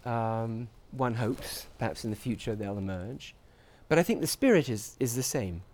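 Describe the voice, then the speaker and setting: A man giving reflective interview answers as a scientist, speaking in articulate, measured academic phrases. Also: mid-range voice, expressive, a little mellow. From grew up in Portugal, England and the US. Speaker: interviewee, scientist at a research institute.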